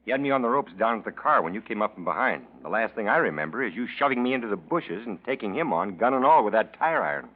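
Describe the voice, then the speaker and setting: A breezy, good-natured male pal in a 1940s radio play. He banters glibly and very fast with lively pitch swings, on a thin, phone-like recording. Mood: admiring, breezy. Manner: glib, bantering.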